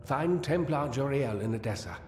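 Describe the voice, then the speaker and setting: A man playing a grave, persuasive counsellor in a fantasy game, speaking deliberately and formally. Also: medium pitch, animated, a little mellow, natural voice. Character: grave male counsellor and strategist, measured and persuasive. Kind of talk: scripted fantasy game dialogue. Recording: echoey hall, music behind.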